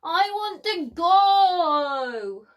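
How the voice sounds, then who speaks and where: A woman voicing an eager, impatient little girl lion cub in an excitable, exclaiming way for a storybook. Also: very high-pitched, extremely slow, muffled recording, pitched above the performer's own voice, heavily acted. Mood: grumbling, impatient.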